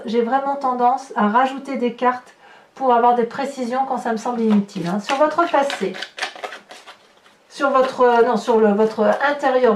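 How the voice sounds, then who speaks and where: Higher-voiced woman reading tarot, roomy echo. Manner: exclamatory, astonished asides while turning cards. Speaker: tarot reader and channel host. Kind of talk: tarot card reading, improvised commentary to viewers. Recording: roomy echo, distant mic, background noise.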